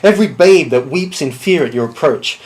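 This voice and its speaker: Menacing male duelist, acted by a man: deliberate, cold, theatrical contempt, dramatic monologue. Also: a little high, lively intonation, close to an everyday voice. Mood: cold menace. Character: cold, menacing swordsman threatening a slow revenge.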